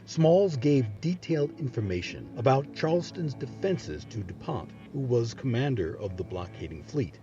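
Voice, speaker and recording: A man's voice: medium pitch, very animated, moderate pace, few pauses, highs cut off. A man narrating history in a scripted documentary style. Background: background music.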